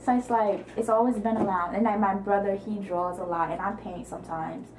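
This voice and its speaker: A woman's voice: medium pitch, fairly even intonation, brisk, a little mellow. Giggly haitian-born woman, chatty guest, roomy echo with faint background hum. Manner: giggly, chatty, acts out her own thoughts. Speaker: guest, event coordinator. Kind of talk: casual interview chat.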